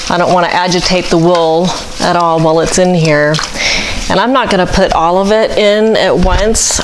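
Female craft vlogger, slightly low register, casual and unhurried, thinking aloud, noticeable household noise behind. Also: rough recording. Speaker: craft vlogger demonstrating fleece washing. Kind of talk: casual hands-on tutorial chat. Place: home kitchen. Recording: clear background noise, household ambience.

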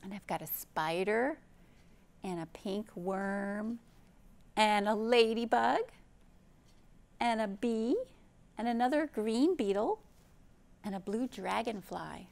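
A woman in her fifties hosting a children's storytime, speaking gently and expressively in simple phrases, with questions for young listeners. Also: mid-range voice, slow, gravelly, pauses often. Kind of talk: read-aloud storytime for young children, with chat and song. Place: indoor library program room.

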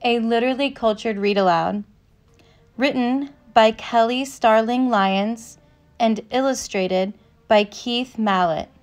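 A woman narrator reading a children's picture book aloud from a script, with measured, careful phrasing. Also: fairly high pitch. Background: instrumental music.

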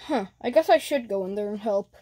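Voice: mid-range voice, lively intonation, a little fast, warm tone, close to an everyday voice. A child voicing a cheeky little creature who works as cameraman on a cartoon show. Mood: scheming, pleased. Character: cheeky Grookey cameraman of a cartoon interview show, eager for drama.

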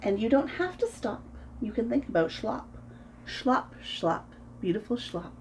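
A woman in her thirties reading a picture book aloud to preschoolers in an expressive, sing-song way, as host of a story time. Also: fairly high pitch, unhurried, slight background noise. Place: small room at home, indoors.

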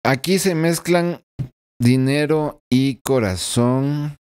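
A man's voice: medium pitch, slow pace, a little mellow, clean tone. A man in his forties giving a weekly tarot reading at home into a desk microphone, speaking earnestly and checking in with the listener through frequent tag questions. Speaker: tarot reader. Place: small home room with blinds and plants. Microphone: desk microphone in front of him.

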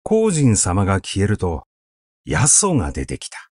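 Expressive male literary reader, unhurried. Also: sits low, no echo, studio quality. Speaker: narrator reading literature aloud. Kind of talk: literary recitation of a classic essay. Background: background music.

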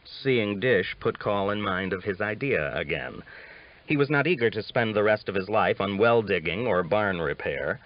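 Low-voiced man narrating a western novel. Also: lively intonation, normal speed. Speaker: audiobook narrator. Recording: dull lo-fi audiobook recording.

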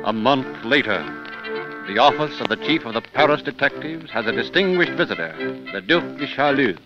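A man's voice: medium pitch, expressive. Dramatic 1930s radio narrator, man over music. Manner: dramatic, measured. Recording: dull 1930s radio recording.

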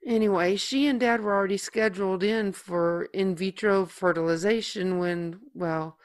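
A woman playing a tender, protective older sister in an audio drama, speaking with halting emotion. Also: medium pitch, fairly even intonation, lightly acted. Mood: tender, nervous.

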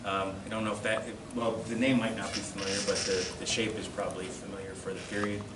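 A man giving an informal slide-show lecture as a presenter, in a hesitant, halting and self-deprecating way. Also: lowish voice, little pitch movement. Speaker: presenter at a collectors' society. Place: classroom, indoors. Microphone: camera across the room. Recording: distant mic, echoey room, loud background noise.

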